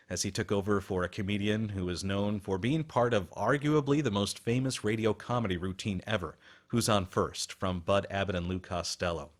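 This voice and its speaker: A man hosting a radio program with scripted documentary narration. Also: on the low side.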